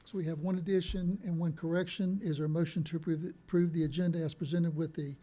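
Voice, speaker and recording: Male chair, soft and mellow, steady procedural delivery. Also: fairly high pitch, fast. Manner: soft-spoken, formal procedural phrasing. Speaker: board chairman. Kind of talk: chairing a public meeting. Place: county meeting hall. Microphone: desk microphone.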